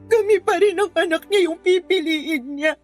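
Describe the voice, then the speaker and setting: A high-pitched, heartbroken young mother in a radio drama. She sounds worn out, emotional and bitter about her cheating partner, with soft music behind her. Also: normal speed. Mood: weary, sorrowful. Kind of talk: Tagalog radio drama acting.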